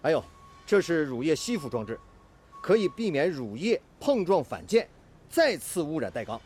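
A man's voice: on the high side, sing-song, moderate pace, a little mellow, breathy edge, soft background music. A male actor playing a stubborn, inventive steel-mill technician and mentor in a Chinese radio drama. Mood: keen, explanatory.

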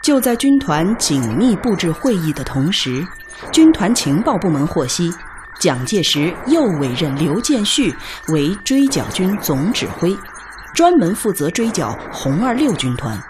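A woman's voice: on the low side, sing-song, unhurried, music in the background. A woman giving solemn, carefully enunciated scripted narration for a radio drama.

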